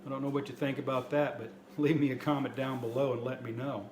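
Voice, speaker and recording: A man's voice: medium pitch, level tone, quick pace, a little mellow. Man in a roomy, echoing space. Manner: plainspoken, folksy. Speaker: host of a prepper video channel. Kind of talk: casual product review talk to viewers. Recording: roomy echo, light background noise.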